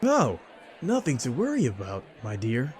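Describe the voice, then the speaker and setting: A man's voice: medium pitch, wildly swooping pitch, normal speed, a little mellow. A man playing a laid-back, casually patronising captain, breezy and dismissive, in an anime fan audio drama.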